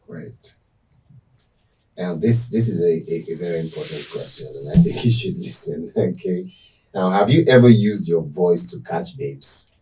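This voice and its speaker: A man's voice: sits low, animated, a little slow, dark tone, distant mic, rough recording. A man interviewing a guest, asking questions in a playful, teasing way.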